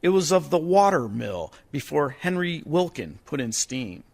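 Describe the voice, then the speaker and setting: A man playing a defeated, self-pitying father in a solemn, measured radio monologue. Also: on the high side, sing-song, creaky, darkish tone, heavily acted. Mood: rueful, defeated. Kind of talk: theatrical monologue for community radio play.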